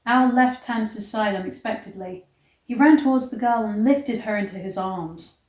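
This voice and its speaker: A woman's voice: mid-range voice, moderate pace, a little mellow. A woman reading aloud and commenting as a casual vlog host, with a wry, sarcastic manner. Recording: echoey room.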